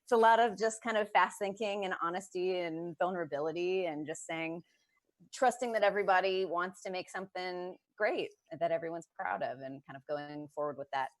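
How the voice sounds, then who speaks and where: A woman's voice: on the low side, brisk. A woman on a panel talking candidly and casually about her writing as a playwright.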